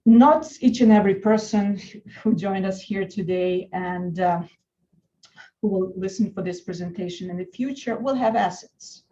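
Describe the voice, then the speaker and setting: A woman from Russia with a Russian accent, an attorney giving an earnest, deliberate webinar presentation with frequent restarts. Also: medium pitch, mellow, highs cut off.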